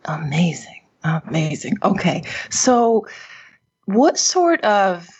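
A woman's voice: fairly low pitch, big pitch swings, slow pace, darkish tone, slightly rough. A woman interviewer asking long questions and correcting herself as she goes. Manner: rambling questions with self-corrections. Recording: muffled lo-fi audio.

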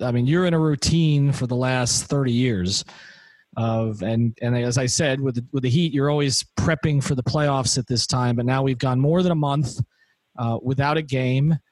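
A man's voice: medium pitch, no room sound. A man hosting a sports podcast, asking long, rambling questions in a casual, conversational way. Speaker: podcast host.

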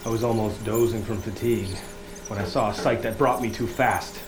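A man's voice: fairly low pitch, fairly even intonation, brisk, lightly acted. An actor playing a grim, haunted lighthouse keeper, telling his story with dramatic suspense in an audio horror drama. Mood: hushed dread. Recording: echoey, noisy radio play sound.

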